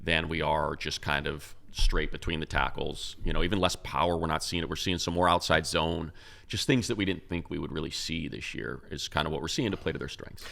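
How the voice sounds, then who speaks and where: Male sports co-host, American, low-pitched with heavy fry, emphatic and conversational football analysis. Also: steady tone, a little fast, a bit bright. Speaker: sports radio announcer and podcast co-host. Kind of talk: casual football analysis chat.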